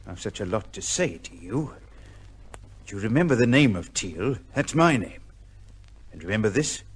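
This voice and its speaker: Old radio villain: sly blackmailer, low husky voice, mock-deferential and needling. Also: male voice, very animated, moderate pace. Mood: sly, menacing. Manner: insinuating, mock-deferential, taunting. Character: sly, menacing male blackmailer, insinuating and mock-polite. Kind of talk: old-time radio drama acting.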